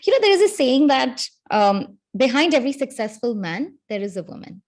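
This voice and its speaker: Higher-voiced woman hosting an interview. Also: big pitch swings, brisk. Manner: warm and complimentary, speaks in full reflective sentences. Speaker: podcast host, interviewer. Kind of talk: casual conversational interview.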